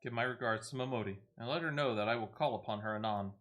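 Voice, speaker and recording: A man's voice: medium pitch, fast, a bit bright, close to an everyday voice. A man reading game dialogue as a courteous, composed ally with formal, archaic phrasing. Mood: polite.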